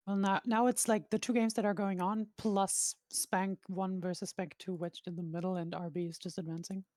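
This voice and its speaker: Woman, native dutch speaker, esports co-caster, relaxed, dry mic. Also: mid-range voice, moderate pace, no room sound. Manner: relaxed, jokey, trails off with fillers. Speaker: esports tournament commentator. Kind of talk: casual commentary chat.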